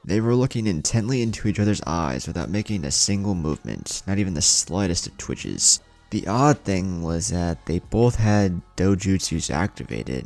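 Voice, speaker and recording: A low-pitched man reads a story as a narrator, with a gravelly edge to his voice. His pitch moves around in a lively way, and music plays behind him. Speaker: channel host and narrator. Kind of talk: fan fiction audiobook narration.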